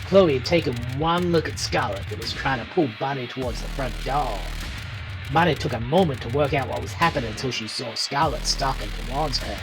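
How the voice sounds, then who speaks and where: A man's voice: medium pitch, a little fast, poor audio. A man narrating a scripted horror story in a dramatic style. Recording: music underneath.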